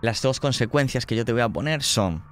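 A man's voice: medium pitch, lively intonation, a little fast, a little hiss or hum. A man narrating a tabletop horror game as game master, describing scenes vividly and dramatically.

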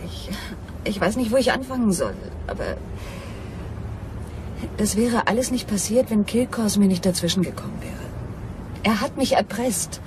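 Actress as an animated, pleading femme fatale swindler. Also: female voice, medium pitch, moderate pace, long pauses, natural voice. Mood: confessing, regretful. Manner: earnest, dramatic, confiding. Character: mysterious female swindler, pleading and self-justifying. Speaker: actress in a crime radio drama. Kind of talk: German crime radio drama acting. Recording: radio play with clear vehicle and ambient noise.

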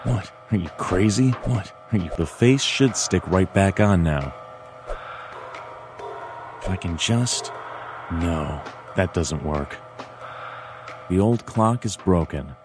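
A man voicing a world-weary, wry animal detective narrating a noir video game in a dry, deadpan way. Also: low-pitched, slow, pauses often, over music.

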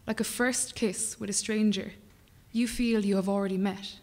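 A young Irish woman poet reading her work aloud in a measured, rhythmic way at a lectern microphone, at times dropping to a whisper. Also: medium pitch, steady tone, a little mellow, in a large hall. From Ireland. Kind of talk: poetry reading. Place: small brick-walled performance venue, indoors. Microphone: stand mic at a lectern.

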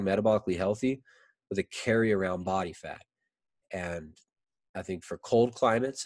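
A man in his thirties with a very low, deep voice talks loosely on a video call, wandering through his points with plenty of "like" and "you know". The sound is dry and close with no room in it. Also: dry recording. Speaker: podcast guest. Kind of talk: casual conversation. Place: indoor room. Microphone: video call, desk mic.

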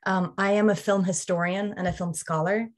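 A woman's voice: mid-range voice, fairly even intonation, moderate pace. A woman in her thirties from Ukraine, a film scholar giving a fluent presentation over a video call with frequent "um" fillers.

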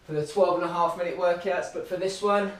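A man's voice: high voice, steady tone, a little fast. Aussie guy coaching a home workout, puffing a bit, echoey living room from far off cam. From Australia. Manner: upbeat, encouraging countdowns and cues. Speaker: running club fitness coach. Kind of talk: workout instruction while exercising. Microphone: camera across the room. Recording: roomy, distant camera audio.

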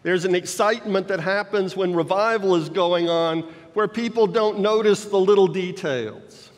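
An older man in his sixties preaching a sermon from a lectern in a church sanctuary, teaching slowly and checking in with his listeners. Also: sits high. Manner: slow teaching delivery, checks in with the congregation. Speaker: pastor. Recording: long hall reverb in a church.

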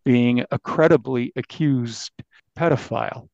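A man speaking as an investigative journalist in a podcast interview, recounting findings with halting false starts. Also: medium pitch, creaky, a little mellow, no room sound, dull audio.

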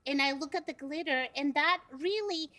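A woman's voice: high-pitched, moderate pace, very noisy, close to an everyday voice. A woman doing a bubbly young monster puppet. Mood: chatty. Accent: Mexican Spanish. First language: Spanish. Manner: bubbly, excitable, hesitant fillers. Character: cheerful young female monster puppet, five. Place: television studio set.

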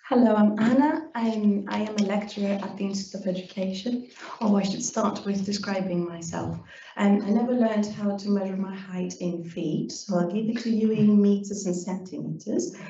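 A woman's voice: mid-range voice, warm tone, a little hiss or hum. Greek woman lecturer, echoey hall. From Greece. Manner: measured, hesitant with frequent ums. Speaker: lecturer in education. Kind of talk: panel discussion. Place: university seminar room with audience. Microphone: handheld microphone. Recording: echoey room from a distance, dull sound.

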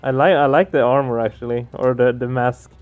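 A man's voice: mid-range voice, steady tone, fairly quick, phone-quality sound. A man hosting a let's play, reading game narration aloud and adding casual commentary. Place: small home room, indoors. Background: game music.

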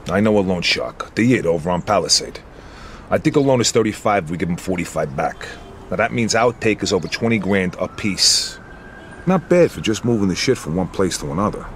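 Deep-voiced, confident American mobster. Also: male voice, animated, a little fast, a little hiss or hum. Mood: confident, persuasive. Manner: assured, matter-of-fact, blunt. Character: confident, businesslike young American mobster pitching deals. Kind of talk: video game cutscene acting.

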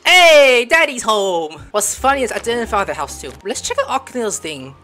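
A woman's voice: fairly high pitch, big pitch swings, moderate pace, pushed and bright. A woman streamer giving casual gameplay commentary, playful and slangy with frequent exclamations. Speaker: gaming streamer. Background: faint game music.

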